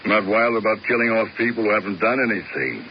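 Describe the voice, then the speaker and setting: A gravelly, blunt hired tough. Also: male voice, fairly low pitch, lively intonation, fast, lo-fi sound. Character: tough hired male enforcer, blunt, cocky. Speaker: hired enforcer. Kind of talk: 1970s radio drama acting. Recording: hissy old radio broadcast.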